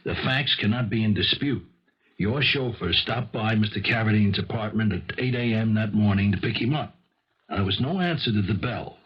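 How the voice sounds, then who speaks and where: An actor playing a patronizing, weary police lieutenant in a 1970s radio mystery, with a husky voice and hesitant, dryly official delivery. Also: male voice, fairly low pitch. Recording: dull vintage radio broadcast recording.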